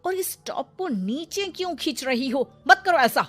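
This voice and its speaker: A woman voicing a haughty, scolding modern middle-aged mother-in-law in an animated story, sprinkling in English words. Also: high voice, moderate pace, faint music behind, pitched above the performer's own voice. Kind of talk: animated moral story acting.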